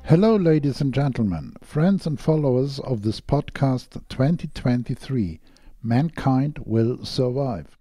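A man's voice: medium pitch, animated, a little slow, mellow, faint music behind. A man giving a formal, courteous scripted introduction as a podcast interviewer.

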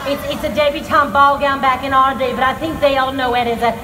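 A woman's voice: high voice, fairly even intonation, fast, natural voice. A woman playing a dead debutante ghost, giddy, cheeky and theatrical, in improvised comic banter with onlookers. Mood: playful. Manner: theatrical, silly, giggly, playful. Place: outdoors at night at a theme park attraction. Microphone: camera at a distance. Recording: noisy outdoor crowd recording with echo.

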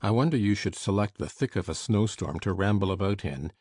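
A man voicing a surly, savage middle-aged landlord with a gruff, sneering delivery. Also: on the low side, brisk, a little mellow, no room sound, clean studio sound, pitched below the performer's own voice.

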